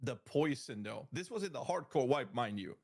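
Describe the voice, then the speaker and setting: A man chatting on a podcast, telling animated gaming stories and laughing often. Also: medium pitch, normal speed. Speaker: podcast guest. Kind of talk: casual podcast chat.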